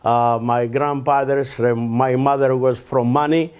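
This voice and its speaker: An older man from Greece with a Greek accent, speaking halting broken English in an oral history interview, with many pauses and fillers. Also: medium pitch, fairly even intonation, a little mellow, clear, resonant tone. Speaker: interview guest.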